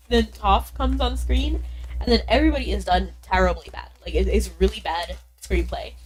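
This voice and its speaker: A woman's voice: mid-range voice, rough recording. A young woman streaming a game and chatting casually with viewers, bubbly and chatty, a little congested from being sick. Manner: bubbly, chatty, quick bursts of excitement. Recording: faint background game music.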